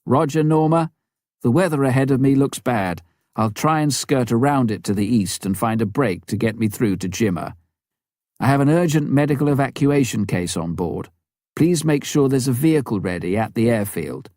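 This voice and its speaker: Man acting a wary bush pilot, pitched up. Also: expressive, moderate pace, darkish tone, no echo, studio quality, lightly acted. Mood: urgent, businesslike. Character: male bush pilot, wary, businesslike on radio.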